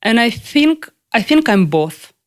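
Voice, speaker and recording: A young woman from Ukraine, speaking in a lively, chatty way as an interview guest. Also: a little high, measured pace. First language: Russian. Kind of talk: casual conversational interview.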